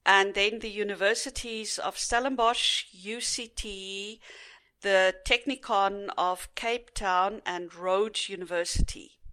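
A middle-aged woman with a South African accent talks at a leisurely pace, her first language Afrikaans. Her voice is bright and forward, and she often pauses on "uh" as she recalls things, in a relaxed interview setting. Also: mid-range voice, clean tone. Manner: unhurried, with frequent fillers. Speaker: guest. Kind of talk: casual interview.

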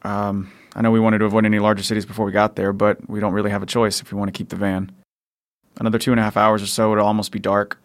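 A protective, grieving father in a post-apocalyptic audio drama, acted by a man. His voice is lowish and creaky, with very little rise and fall. He talks in an understated, hesitant way. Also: little pitch movement, quick pace, lightly acted. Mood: practical, uneasy.

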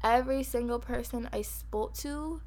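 A woman with a Barbadian accent co-hosting a podcast, talking fast and emphatically in casual chat. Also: a little high, darkish tone. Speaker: podcast co-host.

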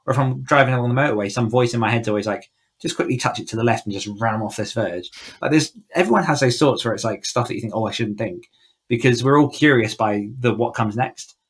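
A man's voice: mid-range voice, fast, slightly rough. A man chatting casually as a podcast guest, thinking aloud in a reflective, conversational way with frequent asides. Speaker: podcast guest, horror author. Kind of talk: casual interview chat.

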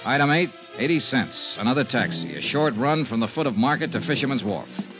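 An actor playing a tough, wry insurance investigator in a 1950s American radio drama, clipped and matter-of-fact. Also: male voice, medium pitch, animated, brisk, over music, close to an everyday voice, low-quality recording. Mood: terse narration. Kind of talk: 1950s radio detective acting. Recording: vintage 1950s radio broadcast.

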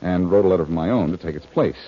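An actor playing a wry, confident amateur detective in a 1940s radio drama, self-assured and quick with a wisecrack. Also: male voice, sits low, breathy edge. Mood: serious, confiding. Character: wry, confident amateur sleuth, adult man. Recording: dull, noisy vintage radio recording.

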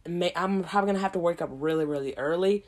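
A woman hosting a casual solo podcast, hoarse from a cold, rambling in a slangy way with lots of asides. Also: on the low side, quick pace, a little mellow. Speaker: podcast host.

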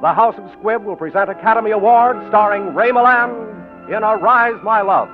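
A man's voice: sits high, moderate pace. Brief emphatic 1940s radio announcer, man, tinny broadcast, faint music behind. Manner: smooth, emphatic, salesman-like.

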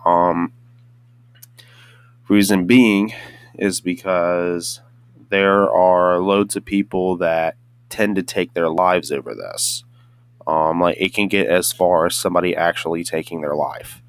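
Young guy, really low voice, hoarse and stuffy, half awake, rambling podcast talk with lots of restarts. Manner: rambling, with many restarts and hesitant fillers. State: hoarse and congested from just waking up. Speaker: podcast host. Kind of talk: casual solo podcast monologue.

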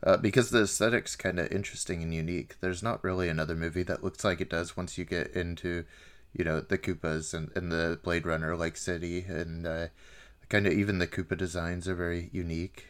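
Deep-voiced man, casual and tentative. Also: steady tone, fairly quick. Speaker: podcast co-host. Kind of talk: casual movie discussion.

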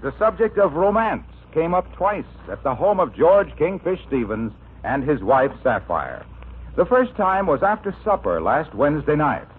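A man's voice: fairly high pitch, big pitch swings, normal speed, slightly rough. A man announcing and narrating a scripted mid-century radio comedy in the style of that era. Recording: tinny old radio recording.

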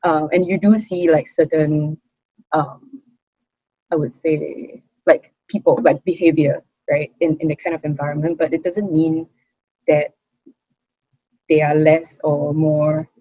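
A young woman with a Singaporean English accent talks through an interview in a husky, breathy voice. She is expressive and chatty, dropping fillers and laughing often. The sound is dry and a little dull, like a muffled recording. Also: low voice, a little slow, no room sound. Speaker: interview guest, hawker's daughter. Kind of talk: casual interview conversation.

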